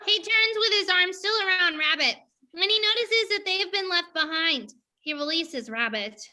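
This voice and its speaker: A woman's voice: very high-pitched, animated, normal speed, sharp tone. A woman reading out stage directions as scripted narration for a play.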